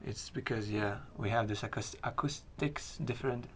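A man from Lithuania with a Lithuanian accent, a guest speaking English as a second language, halting and restarting words as he searches for them. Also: mid-range voice, a little hiss or hum. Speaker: podcast guest. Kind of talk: interview conversation.

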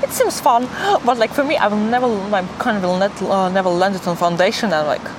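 Young woman, Russian accent, animated casual storyteller, recorded outdoors amid loud city traffic noise. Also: mid-range voice, brisk. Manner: animated, giggly, uses filler "like" heavily. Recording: noisy city street with traffic.

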